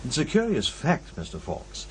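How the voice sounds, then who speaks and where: A man playing a shrewd, proud old professor who investigates ghosts in an audio drama, speaking in a measured, pedantic, testy way. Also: mid-range voice, wildly swooping pitch, low-quality recording. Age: older. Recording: faint background noise.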